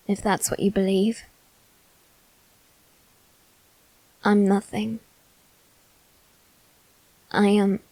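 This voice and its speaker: Woman acting as a proud aristocratic boy, slow measured delivery, close dry sound, halting, theatrical, wounded, ASMR roleplay drama. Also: medium pitch, darkish tone, no echo. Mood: self-loathing, dejected. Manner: halting, theatrical, formal phrasing. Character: proud, wounded, self-loathing aristocratic boy facing his demon.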